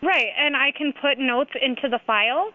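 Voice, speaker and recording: A woman's voice: sits high, moderate pace. A woman working a hotel front desk, handling a customer call in an insistent, procedural way. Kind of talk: customer service phone call. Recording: tinny, narrow phone line.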